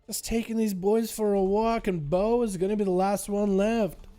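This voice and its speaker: A young man with a faint Russian accent, excitedly casting a player-versus-player game match. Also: high-pitched, moderate pace, clean tone, soft background music. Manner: excitable, laughs often, says "dude" a lot. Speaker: gaming commentator.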